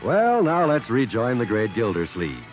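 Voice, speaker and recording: A man's voice: medium pitch, huge pitch swings, normal speed, faint music behind. An American man announcing a commercial in emphatic 1940s radio salesman style. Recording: dull 1940s radio recording.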